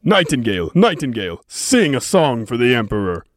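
An eager palace minister from a children's fairy tale, acted by a man. He is courteous, with a mid-pitched voice that swings expressively. The recording is dry. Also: very animated, a little fast, no room sound, close to an everyday voice. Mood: courtly.

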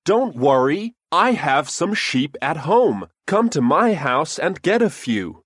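A woman's voice: very animated, takes it slowly, slightly rough, no echo, studio quality, exaggerated voice. A woman doing a kindly farmer. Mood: reassuring. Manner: gentle, reassuring, lowered voice. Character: kind, generous middle-aged farmer man.

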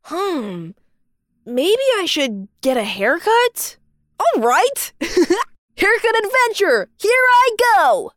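A woman's voice: very high voice, very animated, takes it slowly, a bit bright, no room sound, clean studio sound, heavily acted. A woman voicing a playful, childlike balloon boy who loves adventure, with bubbly exclamations, in a children's audio storybook. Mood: curious, playful. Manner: exclamatory, bubbly, sighing and giggling.

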